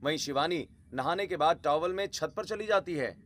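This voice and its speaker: A man's voice: a little high, normal speed, fairly bright tone, soft background music. A man narrating a scripted Hindi story.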